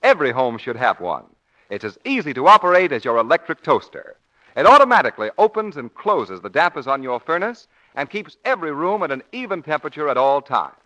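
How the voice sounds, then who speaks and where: A male announcer delivers a 1940s radio advertisement. His voice is rather high and bright, polished and emphatic. The recording is dull with age. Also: sing-song, fairly quick. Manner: polished, emphatic sales pitch.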